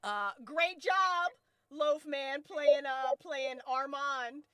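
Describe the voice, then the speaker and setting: A woman chatting casually and excitably as a host, slangy and swearing freely. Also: high voice, very slow, no room sound, clean studio sound. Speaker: director and host of a fiction podcast cast discussion. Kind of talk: casual chat.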